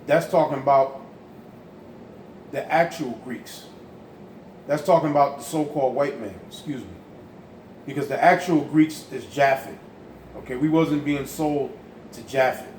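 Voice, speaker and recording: A man's voice: mid-range voice, fairly even intonation, normal speed, pauses often. A man giving an emphatic, insistent teaching talk. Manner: forceful, insistent. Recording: echoey room with background noise.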